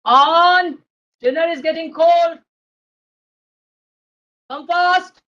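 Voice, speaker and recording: A patient, firm storybook grandfather, acted by a woman in a high voice. He gives unhurried instructions to a child. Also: animated, sharp tone, highs cut off, full character voice. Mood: calling out.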